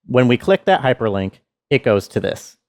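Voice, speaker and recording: A man explaining his sound design work in a measured behind-the-scenes walkthrough. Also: mid-range voice, very animated.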